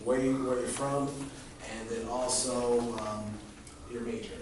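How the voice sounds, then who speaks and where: A man's voice: mid-range voice, fairly even intonation, noisy background. A man working as an admissions counselor, leading a room of students through an icebreaker in casual, informal, joking talk. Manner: friendly, informal, quick to joke with students. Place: large echoey classroom. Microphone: recorder far off in the room. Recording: echoey room recorded from far off, students murmuring.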